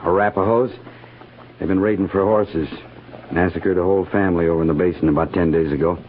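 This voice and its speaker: An American actor playing a loyal, plain-spoken frontier army sergeant with folksy speech in a 1950s radio western. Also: male voice, low-pitched, steady tone, fairly quick, close to an everyday voice. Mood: sober, respectful. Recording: very noisy, tinny old radio recording.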